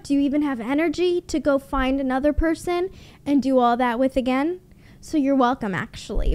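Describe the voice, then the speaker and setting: Blunt high-voiced young woman. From Canada. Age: young adult. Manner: blunt, sarcastic, swears freely. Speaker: podcast host. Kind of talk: casual storytelling monologue. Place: small home room, indoors. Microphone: studio mic on boom arm, close.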